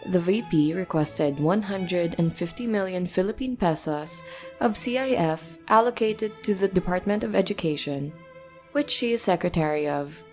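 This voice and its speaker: A woman presenting a scripted news commentary segment on a podcast in a measured, articulate reading. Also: lowish voice, a little mellow.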